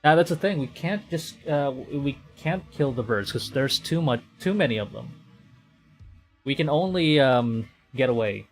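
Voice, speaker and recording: A man livestreaming a visual novel, reading it aloud with excitable, dramatic delivery and chatty casual asides. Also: mid-range voice, normal speed. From Philippines. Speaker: streamer. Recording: music behind the voice. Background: game music.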